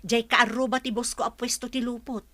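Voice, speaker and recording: A woman's voice: fairly high pitch, little pitch movement, fairly quick, pushed and bright. A woman with an Ilocano accent playing a practical, frank village friend who asks pointed questions in a radio drama.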